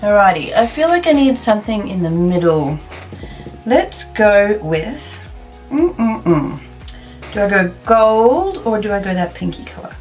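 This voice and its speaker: A woman's voice: mid-range voice, very animated, measured pace, darkish tone, faint music behind. A woman in her thirties from South Africa, chatting and thinking aloud as she does her makeup in a get-ready-with-me video. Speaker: beauty vlogger. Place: plain indoor room.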